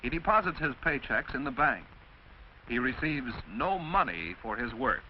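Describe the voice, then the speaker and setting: An American man reads a scripted mid-century instructional film narration in a didactic, measured, clearly enunciated way. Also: medium pitch, very animated. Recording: dull, noisy vintage film soundtrack.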